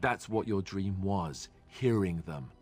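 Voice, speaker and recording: Young warrior companion, lowish pitch, wry, boyish, self-deprecating, friendly, fantasy game with music behind. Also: male voice, expressive, slow pace, a little mellow, slightly rough, heavily acted. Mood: concerned, explaining.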